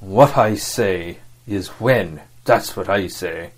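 A man voicing a gruff, grumbling pirate coxswain for an audiobook, with a growl and blunt, rough sailor talk. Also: sits low, slow. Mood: sullen. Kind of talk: audiobook character acting.

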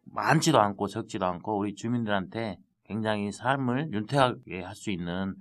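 A man's voice: fairly low pitch, normal speed. A man with a Jeolla accent, a city council member speaking earnestly as a guest on a local podcast. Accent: Jeolla dialect. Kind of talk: casual podcast conversation.

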